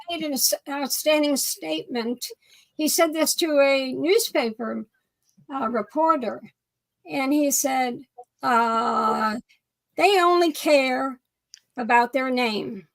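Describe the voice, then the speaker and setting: An older woman with a croaky voice from allergies, speaking in a measured, thoughtful way over a video call from a home study. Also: high-pitched, poor audio. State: croaky voice from pollen. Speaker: co-host.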